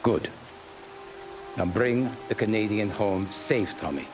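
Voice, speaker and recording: Older gangster adviser, stern yet fatherly, lowish voice, deliberate game-cutscene delivery over music. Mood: calm, businesslike. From Sicily. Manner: deliberate, lecturing. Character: older Sicilian-born mafia consigliere, measured, paternal, stern. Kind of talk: video game cutscene acting.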